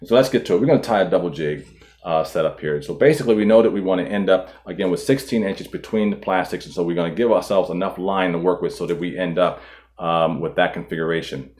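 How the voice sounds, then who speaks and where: A man in his forties, host of a fishing channel, calmly walking viewers through tying a knot step by step, filmed indoors at a table. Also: sits low, fast talker. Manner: calm, methodical, walks through each step. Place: indoor studio in front of a boat.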